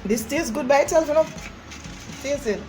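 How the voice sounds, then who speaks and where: A woman's voice: high-pitched, moderate pace. A middle-aged woman with a Jamaican accent, chatting casually to viewers as a co-host. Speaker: co-host, the host's mother. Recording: music over the talk. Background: music.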